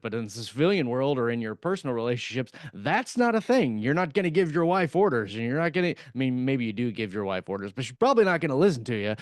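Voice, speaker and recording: A man's voice: mid-range voice, no room sound, clean studio sound. A middle-aged man co-hosting a podcast, talking in a reflective, thinking-aloud way. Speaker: podcast co-host and author. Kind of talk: casual chat.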